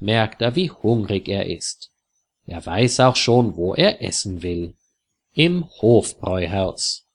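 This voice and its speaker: A man reads a simple story for language learners, slowly and deliberately. His voice sits in a middle pitch, and every word comes out clear and measured. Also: fairly bright tone. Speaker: narrator. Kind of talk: slow scripted storybook narration for learners.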